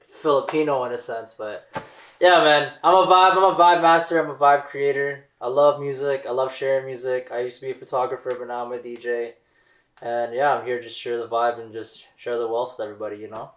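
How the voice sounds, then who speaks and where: A man's voice: mid-range voice, steady tone, a little fast, a bit bright, roomy sound. A young Canadian man, a guest DJ, chatting casually on a podcast and filling his talk with "like" and "you know". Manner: laid-back, lots of "like" and "you know".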